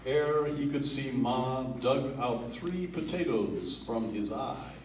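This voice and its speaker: A man giving a dramatic reading of a comic poem at a family gathering, theatrical, with swells and hushed drops. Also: mid-range voice, slow pace, slight background noise. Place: family holiday gathering in a room. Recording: roomy, distant home video.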